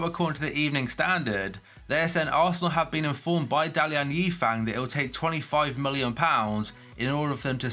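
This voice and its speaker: Young male newsreader, groggy from hay fever, bright forward tone, plain mid pitch, steady delivery with brief asides. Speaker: football transfer news youtuber. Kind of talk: reading transfer news roundup.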